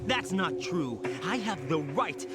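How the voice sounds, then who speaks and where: A fairly high male voice, a young soldier in a video game. He protests with anguish and indignation, pushing back defiantly. Music sounds clearly behind him. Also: very animated, slow pace. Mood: defiant, indignant. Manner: indignant, impassioned. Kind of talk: dramatic scripted video game dialogue. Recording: music under the voice.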